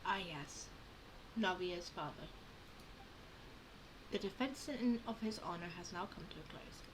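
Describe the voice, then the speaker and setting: A woman hosting a game playthrough, commenting casually and reading text aloud in an informal, halting way. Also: fairly low pitch, expressive. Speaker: gamer, channel host. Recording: clear background noise with game audio.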